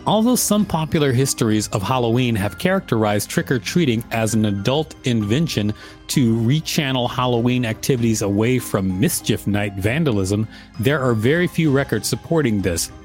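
A man with a mid-pitched voice co-hosts a podcast, reading an article aloud and commenting on it. Faint music sits underneath. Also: expressive, normal speed, darkish tone. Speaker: podcast co-host.

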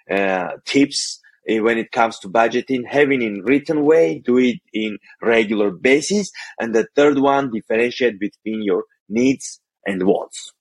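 A man's voice: mid-range voice, dry recording. Armenian-accented man explaining budgeting. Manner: careful, explanatory, some non-native phrasing. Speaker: guest, financial coach. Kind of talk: podcast interview.

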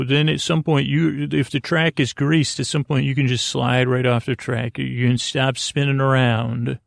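Male sleep-podcast host, creaky voice, dry close sound, halting and whimsically meandering. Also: mid-range voice, no echo. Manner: halting, meandering, repeats himself; whimsical, tongue-in-cheek. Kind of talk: rambling improvised sleep podcast monologue.

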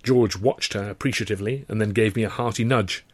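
A man's voice: on the low side, fairly quick, no room sound. Man narrating an audiobook, dry close sound. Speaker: author reading his own novel, narrator. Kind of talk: scripted first-person audiobook narration.

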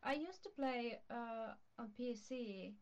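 A woman's voice: on the high side, slow, lo-fi sound. A woman chatting casually about video games as a podcast guest, speaking in simple, hesitant phrases.

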